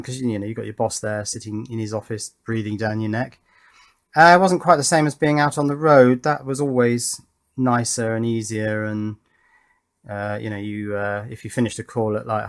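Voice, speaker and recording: A middle-aged man, talking casually and thoughtfully as he hosts an electronics tutorial, rambling a little in a matter-of-fact way. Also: mid-range voice. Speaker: electronics hobbyist video host. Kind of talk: casual chatty tutorial narration with reminiscence.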